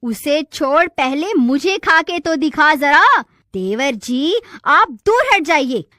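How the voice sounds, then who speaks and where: A woman's voice: high-pitched, sing-song, slow, sharp tone, no echo, clean studio sound, pitched above the performer's own voice, heavily acted. A woman voicing a bold, feisty village wife in a cartoon story. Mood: resolute. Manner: bold, defiant. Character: brave, feisty village wife defending her husband.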